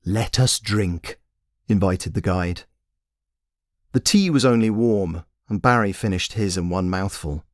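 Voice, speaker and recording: Male narrator, lowish voice, expressive pitch, scripted storytelling, dry close sound. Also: a little slow, no echo. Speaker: author and narrator.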